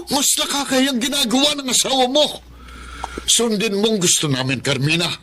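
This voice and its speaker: A man's voice: very high-pitched, normal speed, sharp tone, a little hiss or hum. An actor playing an outraged, domineering father in a melodrama, scolding with indignation. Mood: outraged, threatening. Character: outraged, domineering father defending his grown daughter. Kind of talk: Filipino melodrama acting.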